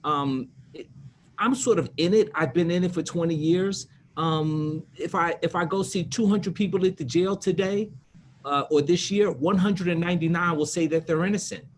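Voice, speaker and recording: Emphatic higher-voiced man over some noise. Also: normal speed. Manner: emphatic, blunt, colloquial. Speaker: defense attorney on a panel. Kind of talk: spontaneous, argumentative panel talk. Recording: noisy background ambience.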